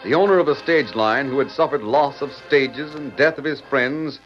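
A man's voice: medium pitch, normal speed. Formal American radio announcer over music, male. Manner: formal, dramatic announcer delivery. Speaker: announcer, narrator. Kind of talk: scripted 1930s-1950s radio narration. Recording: dull lo-fi vintage radio recording.

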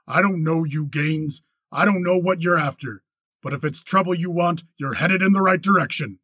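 A man voicing an angry, cold young cattle rancher in an audiobook western, flat, clipped and challenging. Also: a little high, unhurried, husky, no room sound, exaggerated voice. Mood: threatening.

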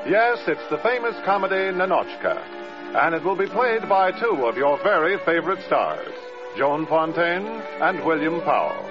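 A man's voice: a little high, very animated, normal speed. An American man announcing a 1950s radio program in a scripted, showy period style. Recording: muffled old radio broadcast recording. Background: music.

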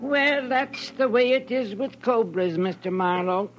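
An actor playing a fussy, earnest, mild snake farmer in 1940s radio style, prim and chatty. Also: male voice, extremely high pitch, unhurried, soft background music. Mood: mild, regretful. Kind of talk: 1940s radio character acting. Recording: dull vintage radio recording.

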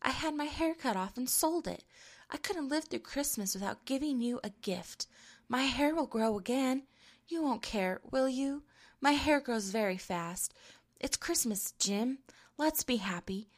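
A woman voicing an anxious young wife who talks in a high, eager, pleading way. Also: expressive, unhurried, full character voice.

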